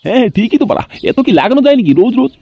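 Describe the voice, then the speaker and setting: A man voicing a crude, scheming, boozy village fellow in an amateur comedy cartoon, excitable and swearing freely. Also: very high-pitched, very fast, creaky, lo-fi sound, heavily acted. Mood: jolly, chummy.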